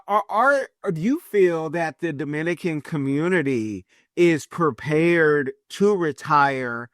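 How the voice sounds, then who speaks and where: Higher-voiced man, emphatic podcast host. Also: no echo, clean studio sound. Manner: emphatic and animated, with joking asides. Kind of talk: casual podcast interview.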